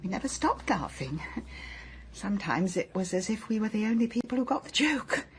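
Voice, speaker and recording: An actress playing an older wife left at home, speaking in a hesitant, halting, often hushed way. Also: female voice, mid-range voice, sing-song, natural voice. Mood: wistful. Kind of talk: radio drama acting.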